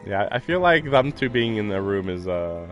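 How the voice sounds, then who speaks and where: Low-voiced rambling man streaming a visual novel. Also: big pitch swings, moderate pace, fairly bright tone, lo-fi sound. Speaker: livestreamer playing a visual novel. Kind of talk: reading game narration aloud with casual commentary. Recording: game music playing behind. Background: video game music.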